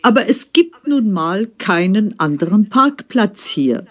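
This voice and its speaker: A woman voicing a hurried driver who pleads and coaxes. Her intonation swings widely, yet her pace stays slow. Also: mid-range voice, very animated. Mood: pleading. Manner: pleading, coaxing. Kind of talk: scripted textbook dialogue.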